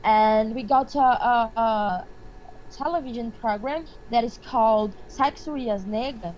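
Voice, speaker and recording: Slow, halting Brazilian woman on a muffled line. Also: a little high, darkish tone, a little hiss or hum. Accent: Brazilian Portuguese. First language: Portuguese. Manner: halting, searching for words, frequent restarts. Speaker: remote guest, activist. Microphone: remote call link. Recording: muffled remote call line.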